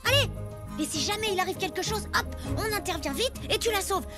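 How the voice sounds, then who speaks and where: A woman voicing an eager, cheeky, affectionate little boy in an animated children's cartoon, chatty and excitable. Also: very high voice, fairly quick. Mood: pleading, scheming. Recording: music behind the voice.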